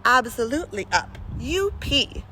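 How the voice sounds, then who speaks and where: Whimsical storybook uncle, read by a woman: jolly, rueful, helpless, lively intonation; noisy outdoor recording. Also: on the high side, unhurried, heavily acted.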